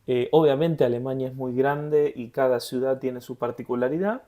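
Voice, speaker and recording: Mellow-voiced Argentine man, laid-back. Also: medium pitch, moderate pace. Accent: Rioplatense Argentine Spanish. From Buenos Aires, Argentina. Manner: relaxed and conversational, laughs easily. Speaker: podcast co-host. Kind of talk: casual podcast chat.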